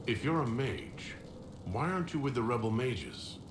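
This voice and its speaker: An actor playing a composed mage hero in a video game, direct and questioning in manner. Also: male voice, medium pitch, lively intonation, normal speed, lightly acted. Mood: firm, questioning. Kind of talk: video game dialogue acting. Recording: roomy echo, noisy background.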